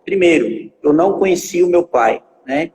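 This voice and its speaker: A man in his forties telling his life story as a guest pastor in a live stream, speaking haltingly with many filler pauses and repeated words. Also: a little high, expressive, a little mellow, in a large hall, slight background noise, rough recording. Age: 40s.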